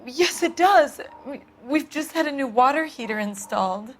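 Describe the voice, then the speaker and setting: An actress playing an anxious, genteel housewife in a crime game, her voice slightly husky. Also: high-pitched, animated, moderate pace. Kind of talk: cinematic game acting.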